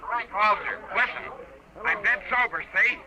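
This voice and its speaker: An actor playing an excitable male newspaper reporter, giving clipped, slangy reports over a telephone in 1950s radio drama style. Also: sits high, measured pace, heavy background noise. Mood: alarmed, insistent.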